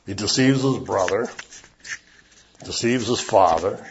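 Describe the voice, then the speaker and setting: A man preaching a sermon as a pastor, chatty and animated, acting out little voices as he talks. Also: on the low side, unhurried, lo-fi sound, slight background noise. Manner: conversational, animated, acts out voices.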